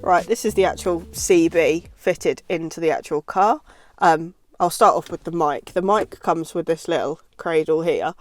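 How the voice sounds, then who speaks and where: A woman's voice: low-pitched, normal speed, a little mellow, dry recording, soft background music. A British woman giving a casual how-to chat as a vlog presenter inside a car.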